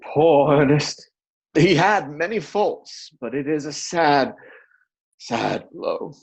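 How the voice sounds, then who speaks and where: A man playing a solemn gentleman in mock mourning, with a husky voice, in a dramatic reading of a stage comedy. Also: on the high side, expressive, slow pace, exaggerated voice. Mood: mock grief.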